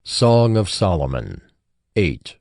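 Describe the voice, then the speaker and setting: A man's voice: sits low, very animated, slow, vocal fry, a little mellow. A man giving formal announcements as a scripture audiobook announcer.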